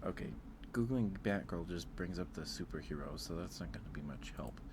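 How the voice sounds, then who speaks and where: A man's voice: deep voice, expressive, a little fast, background noise. A man chatting casually on an ASMR livestream, rambling hesitantly and repeating his phrases, close to the microphone.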